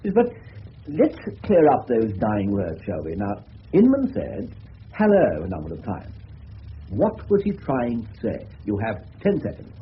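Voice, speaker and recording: An actor playing a genial, avuncular English police detective in a radio drama, courteous and explanatory with a slightly hesitant delivery. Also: male voice, fairly low pitch, wildly swooping pitch, a little slow. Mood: explanatory, relishing. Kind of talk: 1970s British radio drama acting. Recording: muffled old radio recording with hiss.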